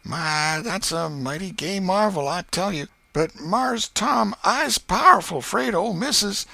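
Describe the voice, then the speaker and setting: A man's voice: high-pitched, animated, measured pace, vocal fry, bright, forceful tone, dry recording, pitched above the performer's own voice, heavily acted. A man voicing a timid, obedient young servant boy in a storybook, with a Southern US accent and a hesitant, folksy dialect. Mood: tempted, nervous.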